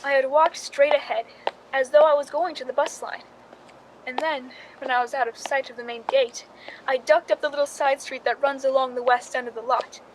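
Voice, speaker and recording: Casting: actress as scheming jealous woman, high voice, confessional suspense narration for radio drama, slightly noisy recording. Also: fairly even intonation, normal speed, natural voice. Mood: tense, urgent.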